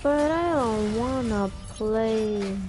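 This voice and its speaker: A woman streamer talks at a slow, unhurried pace. She rambles casually in slang, often halting mid-thought, while game music plays faintly behind her. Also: on the high side, animated. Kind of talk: casual gaming stream chat.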